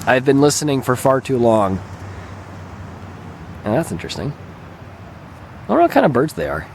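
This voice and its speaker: Slightly hoarse man vlogging outdoors. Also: medium pitch, huge pitch swings, moderate pace, slight background noise. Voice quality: slightly raspy. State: mildly hoarse, recovering throat. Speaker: video channel host and gamer. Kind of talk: casual rambling vlog talk. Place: open lakeshore beach outdoors. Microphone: handheld camera held by the speaker.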